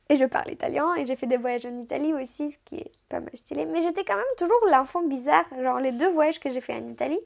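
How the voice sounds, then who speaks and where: A woman's voice: high voice, brisk, gravelly. A woman in her twenties from Moldova, a native Romanian speaker, telling her life story casually as a podcast guest, chatty and self-mocking, restarting sentences often. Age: 20s.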